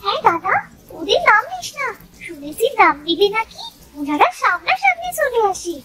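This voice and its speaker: A woman voicing a timid, chattering little village girl with a fretful, excitable manner in a children's cartoon. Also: very high voice, moderate pace, heavily acted. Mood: frightened.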